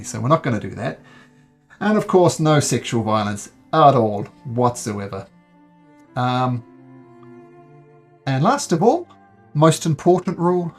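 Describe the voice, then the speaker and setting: A man from New Zealand with a New Zealand accent tinged with North American, speaking earnestly as dungeon master over a voice call, with frequent ums and restarts. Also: medium pitch. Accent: New Zealand mixed with North American. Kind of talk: explaining game rules to players. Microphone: voice call microphone.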